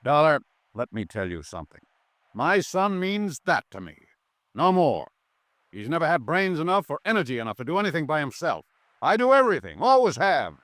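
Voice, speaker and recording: An actor playing a domineering, scornful old businessman father in an old-time radio drama, gruff and commanding. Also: on the high side, big pitch swings, fairly quick, a bit bright, no echo. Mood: cold scorn. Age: older.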